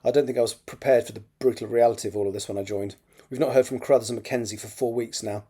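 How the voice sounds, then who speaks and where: A man's voice: medium pitch, fairly even intonation, rapid-fire, warm tone, breathy edge, lightly acted. A man voicing a candid, teasing non-binary character in a story. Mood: sombre worry.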